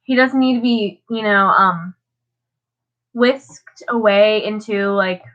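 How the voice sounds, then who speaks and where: A woman's voice: mid-range voice, slow pace, dull audio. A teenage girl talking casually about her daily Bible reading, stuffed up and sniffling, rambling with lots of fillers. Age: teens. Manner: rambling, many fillers and restarts. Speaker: vlogger. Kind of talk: casual devotional talk.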